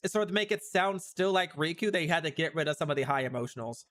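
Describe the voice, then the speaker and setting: A man's voice: fairly high pitch, expressive, fast, fairly bright tone. A man giving casual livestream commentary on a game, reacting with puzzled asides and repeating his phrases. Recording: music behind the voice.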